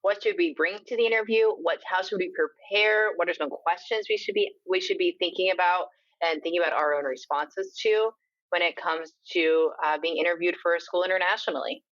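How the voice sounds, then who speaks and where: A woman's voice: on the low side, fairly quick, a bit bright, no echo, highs cut off. A woman hosting a podcast interview, asking her guest encouraging, guided questions. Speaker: podcast host.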